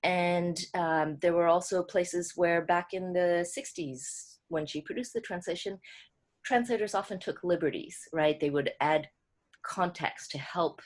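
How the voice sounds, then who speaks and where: Low-voiced woman talking thoughtfully. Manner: thoughtful, halting, many restarts and fillers. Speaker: co-translator speaking as a guest. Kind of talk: online book club talk.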